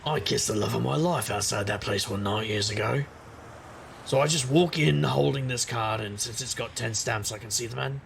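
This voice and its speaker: An actor playing a wry, sardonic supernatural private investigator who narrates in conversational noir style. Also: male voice, mid-range voice, moderate pace, gravelly, a little hiss or hum, close to an everyday voice. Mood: wry, reflective. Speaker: narrator and lead character. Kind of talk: noir first-person scripted narration.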